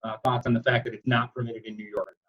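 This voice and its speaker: Male call moderator, chatty and informal, somewhat husky mid-range voice, dull conference-call sound. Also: mid-range voice, little pitch movement, fast talker. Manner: casual, chatty, loosely worded. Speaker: moderator relaying reporters' questions. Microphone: video conference call.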